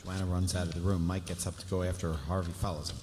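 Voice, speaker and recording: A man's voice: low-pitched, little pitch movement, rapid-fire. A man reading scripted stage directions aloud at a live table read. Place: festival stage in a large hall. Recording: hall reverb with faint noise, live stage.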